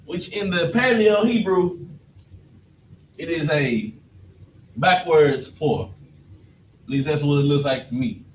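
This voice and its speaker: A man's voice: fairly high pitch, expressive, slow, pauses often. A man teaching a class on alphabet letters, casual and often checking that his students understand. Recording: echoey room, distant mic, faint noise.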